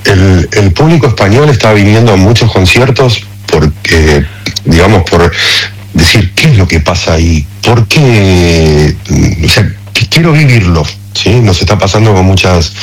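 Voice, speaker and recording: Deep-voiced Argentine man in a radio interview. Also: lively intonation, measured pace, husky, soft background music, poor audio. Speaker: interview guest, concert promoter.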